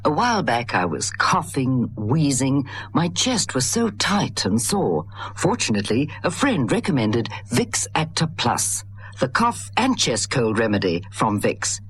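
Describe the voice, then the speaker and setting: A man's voice: mid-range voice, sing-song, unhurried, fairly bright tone. A man giving a friendly, persuasive testimonial in a radio advert.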